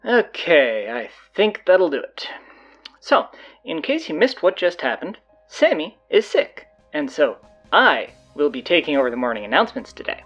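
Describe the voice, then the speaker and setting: Bossy teenage girl announcer played by a woman for audio drama: low register, swooping delivery, blunt sarcasm. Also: sing-song, moderate pace, slightly rough, dull audio, soft background music, full character voice. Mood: brisk, sardonic. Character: bossy, sardonic teenage high school girl taking over announcements.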